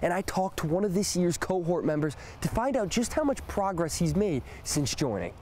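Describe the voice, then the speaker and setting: A man's voice: sits high, very animated, moderate pace, a little mellow, slight background noise. Young american guy doing campus news reports, formal announcer delivery. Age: young adult.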